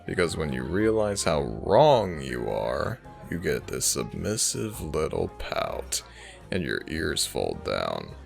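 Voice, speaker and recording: Man acting a gravelly, deep, cocky werewolf rival. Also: very animated, slow, soft background music, full character voice. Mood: flirtatious teasing. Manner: smug, teasing, theatrical. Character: cocky, flirtatious male werewolf from rival pack. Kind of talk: audio roleplay drama acting.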